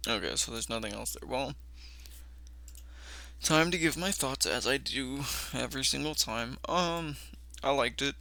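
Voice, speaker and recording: Young man, creaky voice, casual reaction commentary, reading aloud, noticeable background noise. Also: mid-range voice, expressive, unhurried, pauses often, bright, forceful tone. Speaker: reaction video host.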